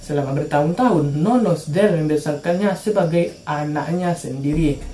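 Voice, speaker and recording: A woman's voice: sits low, normal speed, dark tone, reverberant, slight background noise. A woman from Indonesia telling true-crime stories for her channel in a casual, slangy narrating style.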